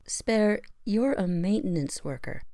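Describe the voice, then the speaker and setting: A woman's voice: medium pitch, lively intonation, unhurried, gravelly, dark tone, dry recording, clean studio sound. A woman playing a weary, guilt-ridden pony analyst in an audio drama, curt and bitterly sarcastic. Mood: bitter, indignant. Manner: sardonic, curt.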